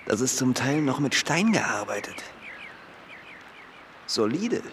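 A man playing a gruff, practical workman in a radio play, muttering and halting as he speaks. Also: medium pitch, very animated, fairly bright tone, heavily acted. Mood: matter-of-fact. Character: gruff, practical male demolition worker absorbed in work. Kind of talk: naturalistic radio play acting. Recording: noisy outdoor ambience with birdsong.

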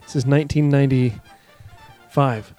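A man's voice: medium pitch, steady tone, unhurried, mellow, soft background music. A man in casual conversation as one of a podcast panel. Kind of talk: casual chat.

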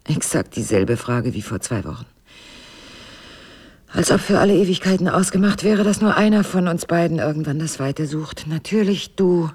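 An actress in a naturalistic radio drama playing a guarded, weary wife who speaks in a measured, evasive, dryly sardonic way. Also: female voice, lowish voice, expressive, darkish tone, husky. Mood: weary, bitter. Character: guarded, weary wife burdened by a painful secret.

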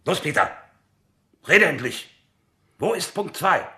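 An actor playing a harsh, impatient military captain in a radio drama, commanding and sardonic as an interrogator. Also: male voice, sing-song, slow, sharp tone, heavily acted. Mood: aggressive, demanding. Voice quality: low, husky.

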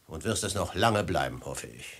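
A man's voice: deep voice, lively intonation, moderate pace, a bit bright, husky, full character voice. An actor playing a cunning, mock-courteous desert bandit chief in a German radio play, theatrical and savouring his verses. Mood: mock friendly. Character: cunning silky-tongued male desert bandit chief posing as host.

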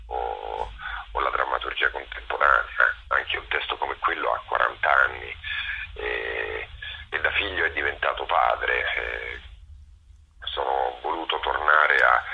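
A man with a gravelly, husky voice giving reflective interview answers, often pausing to search for his words. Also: a bass voice, pushed and bright, lo-fi sound, a little hiss or hum. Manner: halting, searching for words, with many pauses. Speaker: interviewed theatre director and performer.

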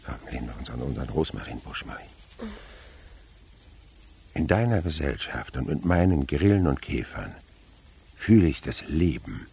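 A man's voice: a bass voice, creaky, darkish tone, slight background noise, heavily acted. An actor in a German radio play playing an older male naturalist, dreamy and fond of insects, with a poetic, theatrical manner. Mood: tender, quiet. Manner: poetic, musing, theatrical, breaks into slow singing.

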